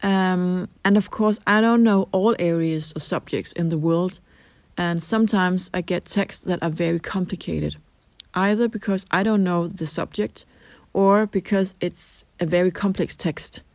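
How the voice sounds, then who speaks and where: A middle-aged Danish woman with a Danish accent, answering interview questions in a measured way with frequent ums and restarts. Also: fairly low pitch, darkish tone, no room sound. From Denmark. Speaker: translator interviewee.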